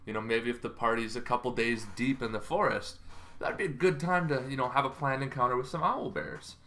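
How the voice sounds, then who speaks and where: Bright-voiced man rambling through an informal explainer. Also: mid-range voice, fairly even intonation, brisk. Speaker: YouTube host giving game lore advice.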